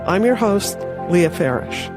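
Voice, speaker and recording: A woman's voice: lowish voice, animated, a little slow, music in the background. A woman hosting a podcast, introducing her guest and asking questions in a friendly manner. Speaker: podcast host.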